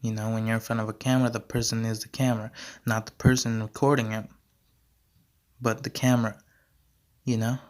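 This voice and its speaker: A young man hosting his own podcast, rambling in a slangy, repetitive way and going hoarse as he talks. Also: lowish voice, little pitch movement, a little fast, long pauses. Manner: slangy, repeats himself, many fillers. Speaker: podcast host. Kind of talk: casual rambling monologue.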